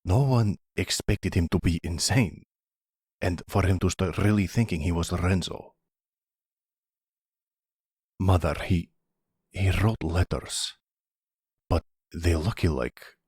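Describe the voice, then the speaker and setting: A man plays a troubled young mobster's son in an audio roleplay. The voice is very low and breathy, captured close and dry. He speaks haltingly with stiff politeness, anxious and weighed down by guilt. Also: darkish tone, no echo, exaggerated voice. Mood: mournful confession.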